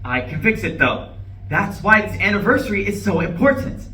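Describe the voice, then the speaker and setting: A man's voice: high voice, sing-song, moderate pace, bright, forceful tone, full character voice. A man reading the part of an earnest, defensive office-worker husband in a live play reading, with a hesitant, over-explaining manner. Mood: regretful, insistent. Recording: distant mic, echoey room, background noise.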